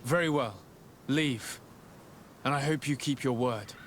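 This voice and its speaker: Measured, courteous nobleman played by a man. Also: mid-range voice, slight background noise, natural voice. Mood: composed. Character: courteous, discreet male noble envoy in a fantasy colony. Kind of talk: scripted game cutscene acting.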